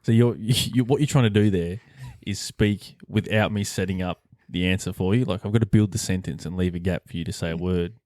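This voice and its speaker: Deep-voiced man hosting casual sports chat. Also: fairly quick, few pauses, a little mellow, no room sound. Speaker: podcast host.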